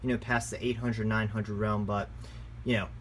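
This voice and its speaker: A man's voice: on the low side, little pitch movement, normal speed. Man reviewing gadgets casually over background noise. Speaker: product reviewer and radio shop presenter. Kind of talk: casual product review, unscripted.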